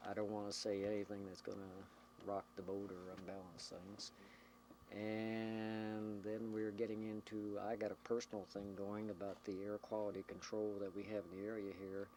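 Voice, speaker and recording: A man who runs a community radio station explains things informally in an interview, halting and choosing his words carefully, on a noisy old documentary recording. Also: monotone, dark tone. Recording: noisy 1990 documentary tape, vehicle or generator rumble.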